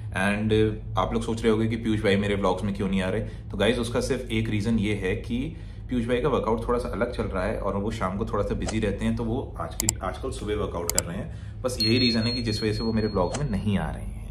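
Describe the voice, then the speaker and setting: A young man chats with his viewers in a relaxed, friendly flow, mixing in English phrases. His voice sits somewhat low. The sound is roomy and echoey, with a faint hum of ambient noise behind it. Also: brisk. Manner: chatty, friendly, sprinkles English phrases, calls viewers bhai and yaar. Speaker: fitness vlogger. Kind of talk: casual vlog chat to camera. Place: indoor rooms, a gym and a bedroom. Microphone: handheld phone selfie and fixed camera.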